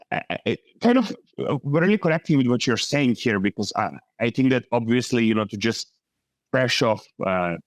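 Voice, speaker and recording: A man's voice: lowish voice, dry recording. A man hosting an interview podcast, speaking with frequent "uh" fillers and restarts. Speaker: podcast host.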